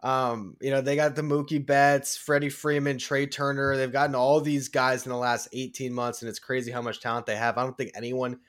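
A man talks baseball as a guest analyst on a sports show. His pitch is average for a man, and his delivery is conversational. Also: little pitch movement, a little fast, few pauses, a bit bright.

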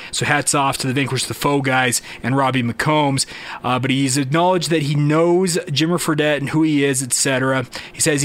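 A man with a moderately high-pitched voice hosts a solo sports podcast. He talks in an informal, conversational way, addressing his listeners directly as he gives his commentary. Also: fairly bright tone.

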